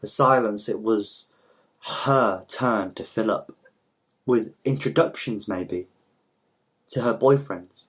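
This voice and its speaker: Low-voiced man reading a story aloud. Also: slow, pauses often, breathy edge. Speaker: volunteer story reader. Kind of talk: scripted literary narration, reading aloud.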